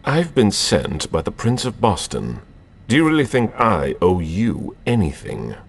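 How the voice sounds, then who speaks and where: An actor voicing a stern vampire investigator in a video game, curt and intimidating, with a commanding, interrogating manner. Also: male voice, lowish voice, wildly swooping pitch, a little slow, gravelly, husky, heavily acted. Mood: menacing, impatient. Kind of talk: video game cutscene acting.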